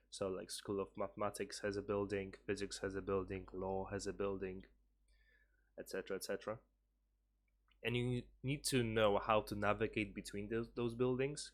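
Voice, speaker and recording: A man's voice: on the low side, fairly bright tone. A man who is a university student, talking casually and earnestly to camera about his studies, with frequent fillers and restarts. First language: Polish.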